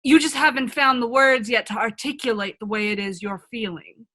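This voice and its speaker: A woman's voice: on the high side, moderate pace, a bit bright. A woman playing a wise, frank older self from a magic mirror in a radio play, earnest and reassuring. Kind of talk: comedic radio play acting.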